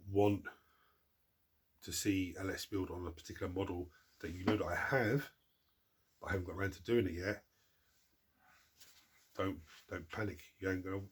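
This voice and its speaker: Deep husky man with a stuffed-up cold. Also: steady tone, normal speed, long pauses. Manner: rambling, self-interrupting, restarts words. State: congested from a cold. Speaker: hobby channel host answering viewer questions. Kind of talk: casual chat.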